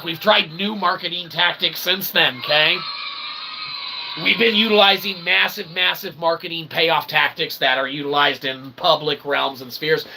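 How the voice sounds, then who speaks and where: A man's voice: on the high side, background noise. A man hosting his own channel with a rambling, self-deprecating comic monologue, folksy and often tagging his phrases with a questioning okay. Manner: folksy, repeats "okay?" as a tag; self-deprecating, tongue-in-cheek. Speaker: independent internet channel host promoting his books.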